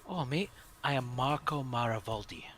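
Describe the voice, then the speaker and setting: A man's voice: mid-range voice, expressive, normal speed, fairly bright tone. A man playing a tabletop game, talking casually in character. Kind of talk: casual role-play. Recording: faint noise, online voice call.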